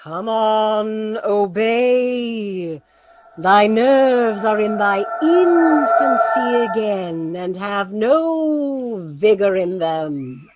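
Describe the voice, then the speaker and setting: A woman playing a stern, commanding sorceress mother in a Shakespearean audio drama, theatrical and sharp in rebuke. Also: mid-range voice, lively intonation, extremely slow, dry recording. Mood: quiet, scheming.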